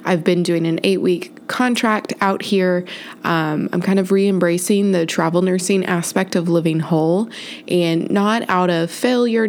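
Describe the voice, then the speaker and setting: A woman's voice: on the low side, darkish tone. A woman hosting her own podcast, sounding stuffy and congested as she rambles through a chatty, self-correcting personal update early in the morning. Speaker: podcast host. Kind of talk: casual solo life update.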